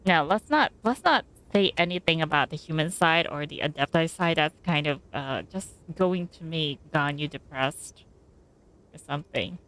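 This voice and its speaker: Female game streamer, fairly low voice, casual and opinionated, tossing out muttered reactions. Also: normal speed, fairly bright tone, low-quality recording. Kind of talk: casual live gameplay commentary.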